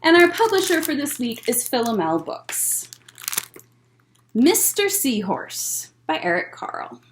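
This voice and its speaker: A woman with a high, very lively voice hosts story time for little children. She speaks at a slow pace in simple phrases, encouraging her young listeners as she explains and reads aloud. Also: a little hiss or hum.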